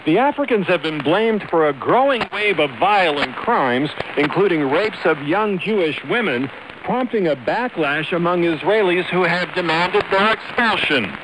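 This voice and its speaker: Male radio reporter, high voice, scripted news, hissy shortwave reception. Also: expressive, moderate pace.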